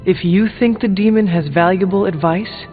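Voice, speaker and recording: Man playing high-voiced blunt hunter, reverberant. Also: normal speed, faint music behind, lightly acted. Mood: resolute, serious. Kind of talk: scripted video game dialogue. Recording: phone-like game audio, long hall reverb.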